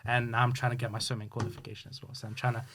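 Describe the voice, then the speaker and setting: A man talks through his story as an interview guest. His voice sits at an ordinary middle pitch. He speaks earnestly and halts often, with plenty of fillers and restarts. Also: level tone, racing, a bit bright, slight background noise. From Hungary. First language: Hungarian. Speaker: guest, youth sports coach. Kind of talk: casual interview chat.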